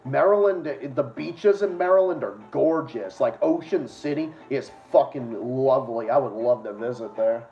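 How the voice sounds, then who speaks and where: A man with a thick Boston accent chats loosely on a livestream, swearing freely and letting sentences trail off into mutters. His voice sits in an ordinary middle pitch. The sound is dull and lo-fi, with faint music underneath. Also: animated, normal speed, few pauses. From Massachusetts. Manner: rambling, profane, trails off softly. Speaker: stream host. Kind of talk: casual livestream chat.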